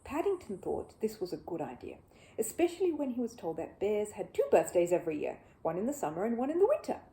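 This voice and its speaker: A woman reading a children's book aloud as an expressive storyteller. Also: medium pitch, fast, rough recording. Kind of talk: expressive storybook narration with a casual greeting.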